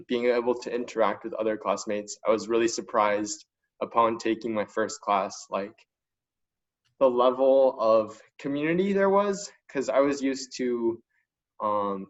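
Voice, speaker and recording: Young man, student panelist, informal and enthusiastic, mid-pitched voice, lo-fi online call sound. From China. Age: young adult. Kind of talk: casual spoken testimonial. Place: online video conference call. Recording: dull lo-fi online call audio.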